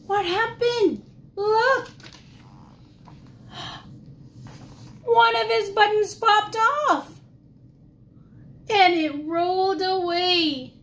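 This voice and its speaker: A woman preschool teacher reading a picture book aloud to young children in an exaggerated, expressive way, asking questions as she goes. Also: very high-pitched, very slow, pauses often. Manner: gasps and drops to whispers for suspense. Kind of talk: animated children's storytime read-aloud.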